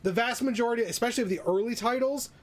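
High-pitched man, blunt and opinionated. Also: rapid-fire. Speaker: podcast host. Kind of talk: casual gaming chat.

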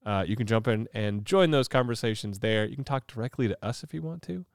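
A man's voice: medium pitch, lively intonation, fairly quick, dry recording, studio quality. A man co-hosting a casual podcast, sick with a stuffy nose, rambling with lots of fillers and laughing often. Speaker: podcast co-host.

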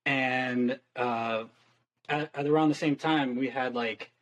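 A twenty-year-old man, a band guest, telling a story in a halting way with many restarts. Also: mid-range voice, steady tone, fairly bright tone, dull audio. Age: young adult. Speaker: guest musician. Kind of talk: casual interview chat.

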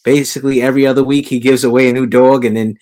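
A man's voice: medium pitch, level tone, racing, a little mellow. A man hosting a casual podcast, teasing his co-hosts and laughing often. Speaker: podcast host.

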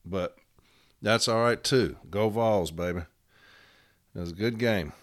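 A middle-aged man, hosting a casual podcast, voice hoarse and worn out, talking bluntly and rambling with many fillers. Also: on the low side, a little slow, no echo, studio quality. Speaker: podcast host.

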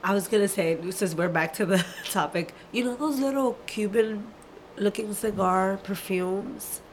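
A woman from the Bronx co-hosting a podcast, chatting casually and agreeing often in quick, quiet replies. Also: mid-range voice, a little hiss or hum. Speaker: podcast co-host.

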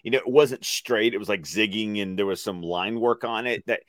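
Man, slightly low voice, nerdy co-host, casual explaining, halting mid-thought, dry close sound. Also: dry recording. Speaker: co-host of animated series discussion show. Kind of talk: casual chat, explaining references.

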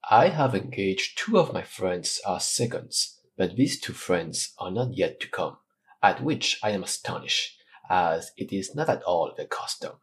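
Guy voicing a courtly wounded swordsman, super low voice, formal, measured. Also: big pitch swings, vocal fry, full character voice. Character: proud wounded noble swordsman, gentlemanly, courteous. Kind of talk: audiobook character reading.

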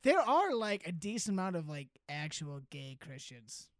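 A man's voice: high voice, big pitch swings, moderate pace, no room sound, clean studio sound. A young man hosting a podcast, chatting casually and teasing his guest with frequent laughs. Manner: laughs often, playful teasing. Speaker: podcast host. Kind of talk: casual chat.

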